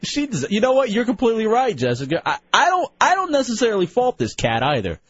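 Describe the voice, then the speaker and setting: A man hosting a radio talk show, animated and mocking, quick to laugh. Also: high voice, husky, lo-fi sound. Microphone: studio microphone.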